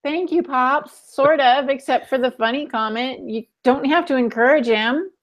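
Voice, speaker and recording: A woman's voice: high voice, normal speed. A woman producing a podcast, chipping in with casual, wry and playful banter.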